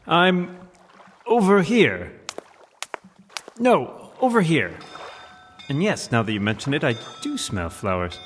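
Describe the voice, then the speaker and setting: An actor playing a suave millionaire playboy who is secretly a pompous vigilante, in theatrical old-time radio serial style. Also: male voice, on the high side, wildly swooping pitch, slow, long pauses, long reverb tail, slight background noise, full character voice. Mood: flustered, evasive. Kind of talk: 1940s radio serial acting.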